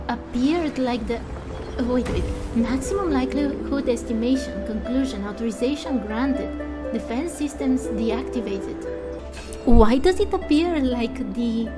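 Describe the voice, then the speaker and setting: A woman giving casual let's play commentary, thinking aloud in a hesitant way. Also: a little high. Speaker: gamer and fan voice actor.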